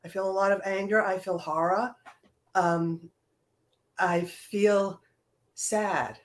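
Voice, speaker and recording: A woman's voice: medium pitch, fairly even intonation, slow. A woman in her sixties answering interview questions reflectively, hesitating as she searches for words. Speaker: stage play director, interview guest.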